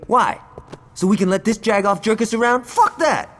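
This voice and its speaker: A brash, foul-mouthed gang enforcer. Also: male voice, high-pitched, animated, normal speed, fairly bright tone, long reverb tail. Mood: aggressive. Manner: brash, swearing, aggressive. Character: hot-headed profane male gang enforcer. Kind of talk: video game cutscene acting.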